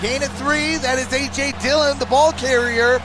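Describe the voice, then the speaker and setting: A man's voice: extremely high pitch, fairly even intonation, normal speed, music in the background. A man hosting a sports livestream, giving live play-by-play and partisan fan reaction while talking to his viewers.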